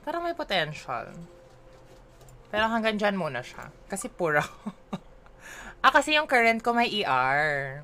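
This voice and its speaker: A woman's voice: mid-range voice, big pitch swings, normal speed, sharp tone, a little hiss or hum. A woman with a Filipino accent streaming a game, chatting playfully in a mix of English and Filipino and teasing herself. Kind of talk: casual livestream chat while gaming.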